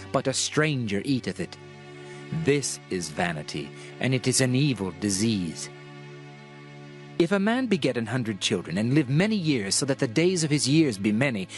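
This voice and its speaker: A man giving a dramatized reading of King James scripture in a solemn, measured, deliberate way. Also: medium pitch. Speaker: scripture narrator. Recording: music behind the voice. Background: music.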